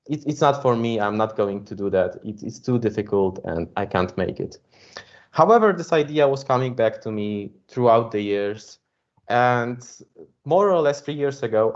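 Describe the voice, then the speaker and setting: A man with a Polish accent teaches an online coding class in English, his second language, with a voice of ordinary pitch. He speaks modestly and hesitantly, filling pauses with fillers. The sound is a little dull and lo-fi, as from a computer mic. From Poland. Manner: modest, careful simple wording. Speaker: programming instructor. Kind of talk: online tutorial talk.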